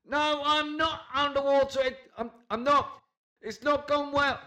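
A man's voice: very high voice, fairly even intonation, a little slow, pushed and bright, pitched above the performer's own voice, heavily acted. A man voicing an angry, spluttering smartly dressed man exasperated on a phone call in a narrated story. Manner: exasperated, spluttering, repeating himself.

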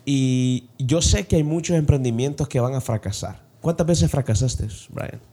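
A man's voice: medium pitch, moderate pace, dark tone. A man hosting a podcast interview, affirming his guest often and asking long, searching questions. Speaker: podcast host. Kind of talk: casual interview talk.

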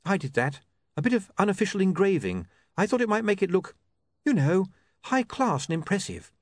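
A man's voice: high-pitched, sing-song, a little fast, dry recording, pitched above the performer's own voice. A man voicing a shy, proper young woman who is eager but nervous, with a modest, hesitant manner.

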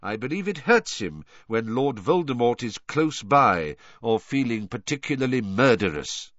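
A man voicing a calm, authoritative, powerful elderly wizard with a cool, measured manner for an audiobook. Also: mid-range voice, no room sound, dull audio. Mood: firm.

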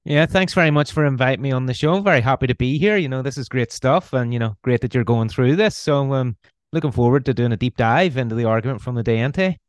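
A man's voice: medium pitch, fast talker, dry recording, clean studio sound. Belfast-accented man explaining philosophy. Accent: Northern Irish, Belfast. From Northern Ireland. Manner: hesitant, many ums and restarts. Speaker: guest philosopher. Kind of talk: conversational explanation.

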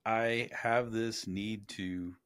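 A man with a fairly low voice hosts a casual interview. He talks haltingly, doubling back on words mid-thought. The sound is dry, with little room in it. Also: steady tone, fairly bright tone, dry recording. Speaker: podcast host.

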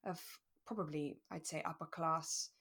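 A woman with a slightly low-set voice co-hosts a relaxed review podcast. She explains her points thoughtfully and leans on key words for emphasis. The delivery is conversational and unscripted. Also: a little slow, gravelly, husky. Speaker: podcast co-host. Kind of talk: relaxed chat reviewing a radio drama.

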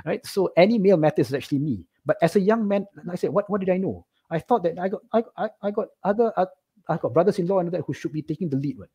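A middle-aged man from Singapore with a Singaporean accent, telling personal stories casually as an interview guest, animated and often checking in with "right?". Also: high voice, fast, breathy edge.

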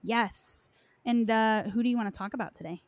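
A woman's voice: a little high, normal speed. A woman hosting a podcast, moving between dramatic story reading and casual, playful chat. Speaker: podcast host. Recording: clearly noisy recording with clicks.

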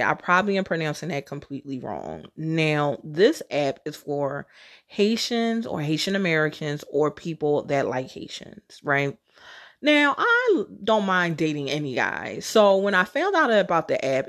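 A woman podcast host telling casual personal stories on her own, in a chatty, candid, slangy way with some casual swearing. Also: deep voice. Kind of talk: casual solo storytelling.

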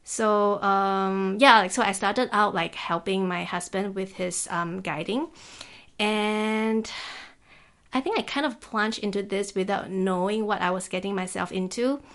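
A woman's voice: medium pitch, unhurried, fairly bright tone. Easygoing woman, chatty guest. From Singapore. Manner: easygoing, peppers speech with "like", laughs often. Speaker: guest, climbing guide. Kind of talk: casual interview chat. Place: office.

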